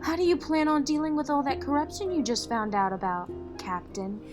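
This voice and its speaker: A woman playing a loyal, steady partner to a vigilante in an audio drama, frank and measured. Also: sits high. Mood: challenging. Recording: dramatic music underneath. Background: music.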